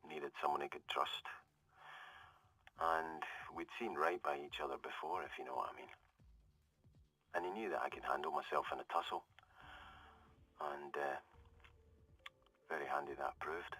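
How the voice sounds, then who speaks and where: Very deep-voiced Scottish ex-soldier. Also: male voice, fairly even intonation, normal speed, long pauses, bright, forceful tone, husky, dry recording, heavily acted, poor audio. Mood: dry, knowing. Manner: conversational, hesitant, darkly wry, trailing off. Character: hard-bitten ex-military mercenary survivor, wry and unrepentant. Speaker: security corporal. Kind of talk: in-game audio log, confessional monologue.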